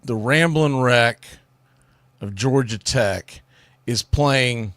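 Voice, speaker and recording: A man's voice: medium pitch, drawn-out words, a bit bright, no echo. A man hosting a solo sports talk show, giving commentary in an informal, opinionated way.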